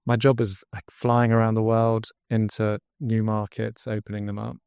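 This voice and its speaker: An English man, guest on a podcast interview, telling his story as a drinks brand founder in an earnest pitch. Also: little pitch movement, normal speed, vocal fry, a little mellow, clean tone, no room sound. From England.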